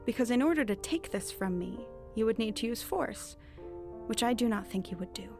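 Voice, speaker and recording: A cunning, well-read thief in a period audio drama. Her voice sits fairly high, with clear music underneath. She speaks in articulate, mock-courteous sentences, teasing and entirely sure of herself. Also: lively intonation, fairly quick. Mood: assured, pressing. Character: cunning, bookish woman thief posing as a witch.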